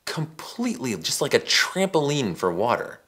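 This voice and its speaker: A man's voice: medium pitch, big pitch swings, moderate pace, bright, forceful tone, husky. An American man in his forties hosting a science explainer, talking to camera in a casual, emphatic, conversational way. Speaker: science show host. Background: background music.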